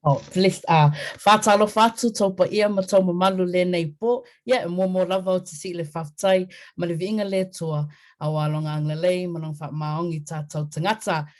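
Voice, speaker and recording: A speaker introducing themself on a video-call panel, energetic and chatty with frequent fillers. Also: mid-range voice, a little fast. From New Zealand. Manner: warm, energetic, many fillers. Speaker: software engineer, panelist. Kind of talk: casual self-introduction.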